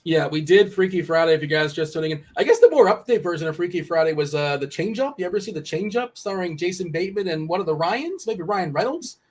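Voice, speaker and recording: A man's voice: high-pitched, animated, darkish tone. A young man analysing fantasy baseball picks in casual chat, rambling and correcting himself as he goes. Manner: rambling, self-correcting, quick asides. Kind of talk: casual sports betting chat.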